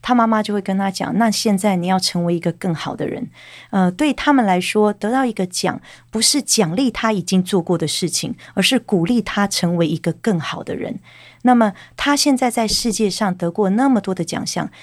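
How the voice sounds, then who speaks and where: A woman working as an interpreter, briskly relaying the guest's answers. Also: medium pitch, no echo. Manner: brisk, relays in the first person. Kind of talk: consecutive interpreting.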